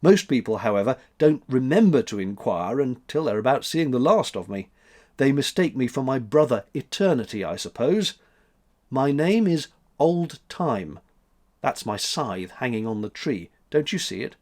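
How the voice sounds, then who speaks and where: Man voicing a stern old Father Time, lively intonation. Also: medium pitch. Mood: matter-of-fact. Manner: measured, matter-of-fact, severe when crossed.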